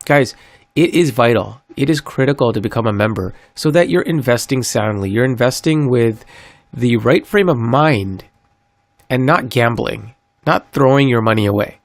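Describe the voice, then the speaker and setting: A man's voice: lively intonation, normal speed, no room sound. Stuffy-nosed man hosting a podcast. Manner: earnest, upbeat sales-pitch delivery. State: stuffy, recovering from a cold. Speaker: podcast host. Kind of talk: solo crypto podcast monologue.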